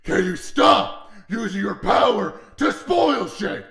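A bloodthirsty demon war god in a comic dub. He is gruff, exasperated and deeply gravelly, speaking slowly, with a roomy echo around him. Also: sing-song, fairly bright tone, exaggerated voice. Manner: gruff, sardonic.

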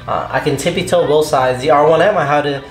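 A man's voice: a little high, fast, reverberant, soft background music. A man in his thirties vlogging casually about motorcycles, loose and chatty with many fillers and restarts, recorded in his home garage on a camera mic. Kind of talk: casual motovlog talk.

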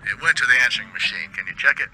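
A man's voice: lowish voice, expressive, rapid-fire, pushed and bright, soft background music, poor audio. A man playing a blunt, wisecracking radio producer in a video game. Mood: wry.

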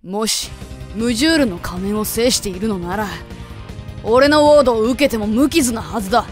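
Girl doing proud hot-headed anime boy rival, kinda high voice, intense n melodramatic, bit of music behind. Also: a little fast, close to an everyday voice. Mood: furious, defiant. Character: proud, hot-headed, jealous teenage male club member. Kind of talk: comedic anime-style voice drama acting. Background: background music.

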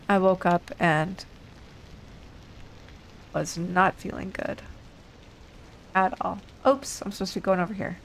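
A woman's voice: medium pitch, fairly bright tone, a little hiss or hum, poor audio. A woman hosting a casual let's play, thinking aloud unhurriedly while getting over an illness. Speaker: gaming video host.

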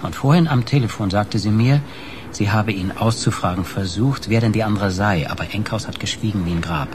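An actor playing a sardonic, dogged investigator with a teasing, insistent manner in a German radio crime play. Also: male voice, on the low side, a little fast, noisy background, natural voice. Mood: eager, teasing. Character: sardonic, dogged male investigator pressing for answers.

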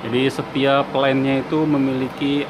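A man's voice: medium pitch, little pitch movement, moderate pace. A man with an Indonesian accent giving a casual, explanatory product demonstration, repeating key points. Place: indoor workshop. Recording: clear workshop hum and ambient noise.

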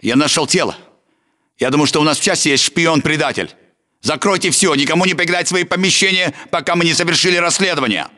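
A man's voice: fairly high pitch, fast talker, pushed and bright. A man playing a Russian soldier guarding a base in a military video game. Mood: alarmed.

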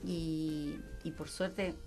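An older woman with an Argentine accent, a guest actress chatting on a radio show, animated with frequent laughs and trailing fillers. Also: low-pitched, very slow, over loud music. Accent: Rioplatense Spanish. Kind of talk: casual radio interview. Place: small radio studio with foam walls. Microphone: studio mic and headphones.

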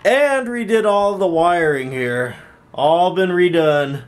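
A man's voice: high voice, slow pace. A man hosting a hobby tech vlog, casually narrating a tour from behind a handheld camera in a small room at home. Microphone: handheld camera held by the speaker.

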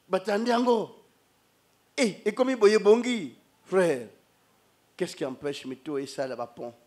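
A middle-aged Congolese man preaches with lively energy, his voice sitting high for a man. He speaks into a stand microphone in a church hall, switching between two languages and gesturing as he goes. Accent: Congolese French. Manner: animated, mixing Lingala and French, gestures while preaching. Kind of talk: sermon. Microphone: handheld mic on a stand.

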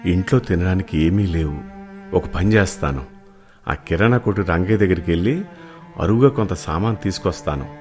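An actor playing a poor, humble, weary village cobbler in an animated moral story, earnest and pleading. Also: male voice, sits low, a little fast, soft background music. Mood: downcast, humble.

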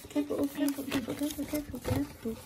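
A woman chatting casually with a young child in a gentle, coaxing, reassuring way, indoors in a home kitchen. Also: high-pitched, steady tone, racing. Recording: noisy room, handling and crinkling sounds.

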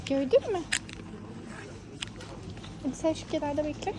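Mother, high voice, coaxing child-directed chatter with lots of repetition, noisy location sound. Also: lively intonation, moderate pace. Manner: coaxing, sing-song repetition and questions. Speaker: mother filming her young child. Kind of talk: casual child-directed chat.

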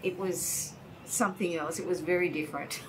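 An easygoing woman in her sixties, speaking in a slightly low voice. Her replies are relaxed and agreeable. Steady background noise sits clearly under her voice. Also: normal speed. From Australia. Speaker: interview guest. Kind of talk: casual reminiscing conversation. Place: indoor room by large windows.

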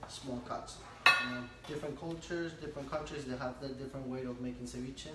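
A man's voice: mid-range voice, level tone, fairly quick, very noisy. A man, a chef from Florida, demonstrating a dish with frequent hesitations and fillers. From Palm Beach area, Florida. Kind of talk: cooking demonstration. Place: restaurant kitchen. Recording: echoey restaurant, far from mic.

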